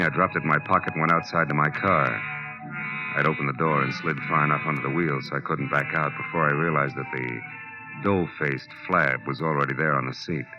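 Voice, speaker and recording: An old muffled radio recording of a tough private detective, with prominent music behind. He is low-pitched, world-weary and wisecracking, delivering noir lines with clipped, sardonic toughness. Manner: hard-boiled, clipped, sardonic. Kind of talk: 1940s-50s radio noir narration and acting.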